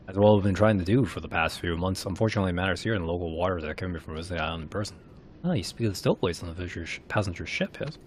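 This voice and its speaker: A man reading game cutscene dialogue aloud in a dramatised, somewhat halting way. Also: deep voice, a little hiss or hum.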